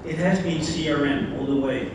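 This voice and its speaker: A man's voice: on the high side, normal speed. A man from the Netherlands with a Dutch accent giving a conference talk, speaking conversationally and putting questions to the audience. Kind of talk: conference keynote presentation. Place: large conference hall. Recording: very echoey hall, distant mic, background noise.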